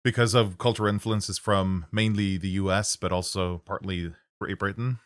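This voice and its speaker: Man, Norwegian accent, podcast host, halting phrasing, dry close mic. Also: deep voice, steady tone, no echo. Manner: halting, restarts, chuckles. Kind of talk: casual interview conversation.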